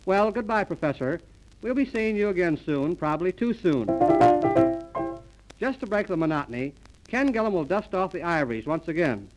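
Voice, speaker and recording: A man's voice: high voice, animated, normal speed, rough recording. A man hosting a 1930s radio comedy with mock-formal announcer patter. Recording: old 1930s transcription disc, music.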